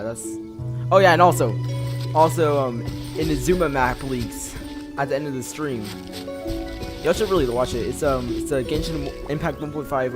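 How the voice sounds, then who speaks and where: A young man casually commentating a live game stream, rambling and often repeating himself. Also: medium pitch. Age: teenager or young adult. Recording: game music over the voice. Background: game music and sound effects.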